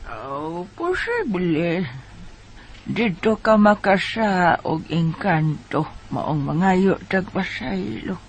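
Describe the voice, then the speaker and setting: A man's voice: sits high, big pitch swings, heavily acted. A man with a Bisaya Filipino accent playing a solemn, admonishing village folk healer in a radio drama. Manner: drawn-out, admonishing.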